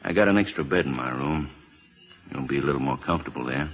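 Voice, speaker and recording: Deep-voiced, wry American lawman. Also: quick pace. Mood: dry resignation. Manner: folksy understatement. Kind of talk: 1940s radio acting. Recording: reverberant old radio broadcast.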